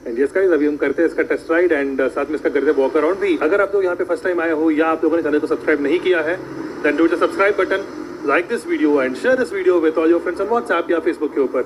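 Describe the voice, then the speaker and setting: A young man walking around a motorcycle outdoors, listing specs quickly in a casual presenter manner. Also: on the high side, a little hiss or hum, rough recording. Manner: quick, tech-spec listing, mixing English. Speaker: bike reviewer in a played clip. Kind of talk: fast Hinglish bike walkaround review.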